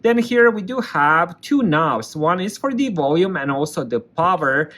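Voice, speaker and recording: A man's voice: fairly high pitch, animated, a little slow, a bit bright. Man casually walking through a product. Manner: plain explanatory, step by step. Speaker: product reviewer. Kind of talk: casual product review, hands-on walkthrough.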